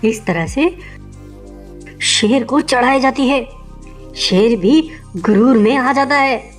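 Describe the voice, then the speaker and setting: A woman's voice: a little high, slow. A woman telling an animal fable for children in an informal storytelling style. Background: music.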